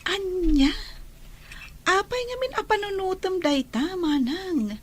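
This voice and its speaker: An actress plays an outspoken, encouraging little sister in a romance drama. Her voice is notably high, with faint noise in the background. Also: expressive, slow, heavily acted. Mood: frank, encouraging.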